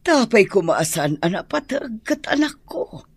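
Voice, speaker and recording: A woman playing a middle-aged, sorrowful mother in a radio drama, with a Filipino Ilocano accent and a husky voice. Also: medium pitch, big pitch swings, measured pace, dry recording, heavily acted. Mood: wistful, regretful. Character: sorrowful mother longing for her estranged child.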